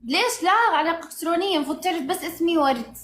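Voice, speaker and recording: A woman's voice: very high-pitched, lively intonation, rapid-fire, fairly bright tone, a little hiss or hum. A woman from Saudi Arabia's Hejaz with a Hejazi accent, chatting casually and playfully on a livestream. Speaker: livestream guest.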